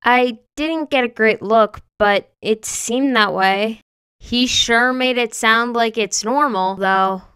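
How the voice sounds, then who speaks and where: A woman voicing an earnest, kind young girl trainer in a story reading, with a light, clear voice and slow delivery. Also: fairly high pitch, pushed and bright.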